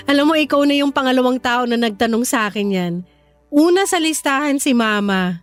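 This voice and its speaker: Filipino radio drama: young woman, guarded with an old flame, high expressive voice, music underneath. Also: moderate pace. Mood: sympathetic, reserved.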